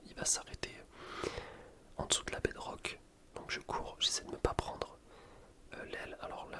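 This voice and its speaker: A man whispering casual, slangy ASMR commentary over a video game, fully breathy and unvoiced. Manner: casual, slangy, self-deprecating. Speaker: gaming ASMR video host.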